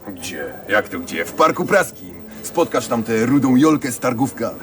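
An actor playing a cocky, teasing street lad in a radio drama, talking in blunt, colloquial slang. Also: male voice, medium pitch, sing-song, moderate pace, lightly acted. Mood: teasing, chummy. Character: cocky, teasing Warsaw street lad, long dead friend. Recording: clear street noise behind dialogue.

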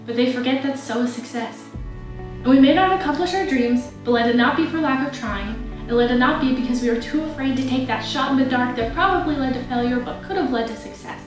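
A woman's voice: high-pitched, fairly quick, music in the background. A young woman giving a motivational, emphatic graduation speech to her class. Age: young adult. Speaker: graduating student speaker. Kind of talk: prepared commencement speech. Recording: very echoey room.

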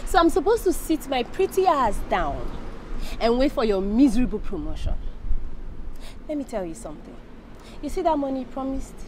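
A spoiled, scornful young Nigerian woman in a film melodrama. Her voice sits high and swings widely as she scolds and gives orders. There is faint noise behind her. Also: sing-song, moderate pace, long pauses, mellow, low-quality recording. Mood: contemptuous. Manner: scolding, imperious. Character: haughty, scornful young senator's daughter, angry lover.

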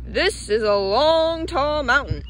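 A woman voicing a watchful railway flat car in a children's storybook, calling out warnings with drawn-out words. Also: high voice, exaggerated voice. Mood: cautioning. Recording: outdoor ambient noise behind voice.

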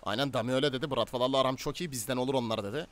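A man's voice: medium pitch, rapid-fire. A man in casual, slangy, bantering in-game roleplay chat, leading a gaming group.

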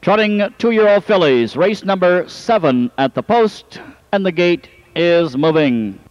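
High-pitched man calling a harness race. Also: expressive, dry recording, rough recording. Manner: rapid rhythmic race calling, rising at the finish. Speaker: race announcer.

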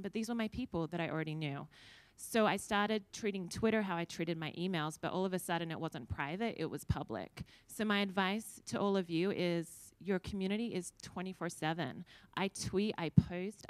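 A woman's voice: on the low side, smooth, no room sound. A woman in her thirties with an Australian accent, a former TV news anchor chatting through anecdotes on a conference panel, speaking into a handheld mic. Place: conference room with audience, indoors.

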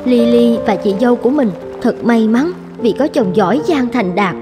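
A woman's voice: a little high, animated, moderate pace, music in the background, natural voice. A woman voicing a dissatisfied, nagging young wife in a cartoon drama, with a reproachful way of talking. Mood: wistful. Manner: complaining, reproachful.

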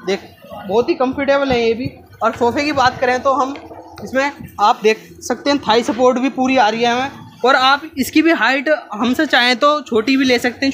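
A young man from India gives an informal, halting sales walkthrough as a furniture factory salesman, repeating himself as he goes. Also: very high-pitched. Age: young adult. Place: busy furniture factory workshop, indoors. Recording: noisy workshop, phone camera at distance.

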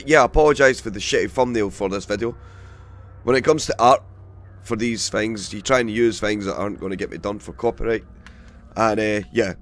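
A man with a cold, hoarse and gravelly, casually commentating a game he plays and swearing freely. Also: fairly low pitch. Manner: swears freely, rambling and chatty. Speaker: let's play host.

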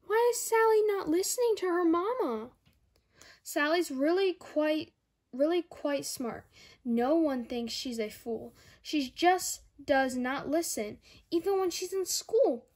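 A woman's voice: sits high, expressive, slow, a little mellow. A young girl reading a children's picture book aloud, careful and word by word, with little asides to listeners. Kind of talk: read-aloud storybook narration with asides. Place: indoor room at home.

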